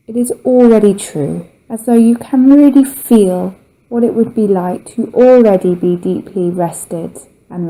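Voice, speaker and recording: A woman guiding a relaxation meditation as a yoga nidra teacher, speaking in a soothing, gentle, unhurried way with long pauses. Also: medium pitch, low-quality recording.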